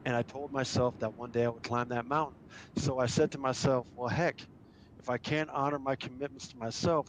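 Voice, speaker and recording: A man's voice: mid-range voice, a little hiss or hum, poor audio. A middle-aged man who grew up in Germany tells a long personal story with enthusiasm, speaking casually to a virtual audience. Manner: enthusiastic, anecdotal. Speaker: guest speaker, adventurer and filmmaker.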